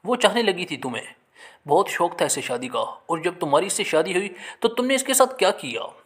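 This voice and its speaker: Bitter young wife played by a male narrator, grieving and accusing, animated delivery for an emotional storytelling piece. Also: high voice, a little fast, exaggerated voice. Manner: accusing, reproachful.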